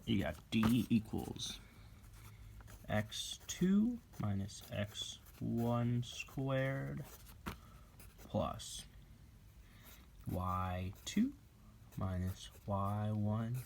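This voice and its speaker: Slow, offhand teenage boy tutoring. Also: on the low side, expressive, gravelly, darkish tone, a little hiss or hum. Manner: slow, offhand and jokey, talking while writing and snacking. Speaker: student tutoring a classmate. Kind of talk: casual homemade math walkthrough. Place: indoor room at home.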